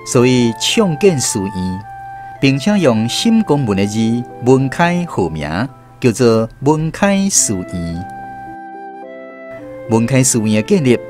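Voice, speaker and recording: A man with a Taiwanese accent narrating a scripted radio drama as its host. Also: medium pitch.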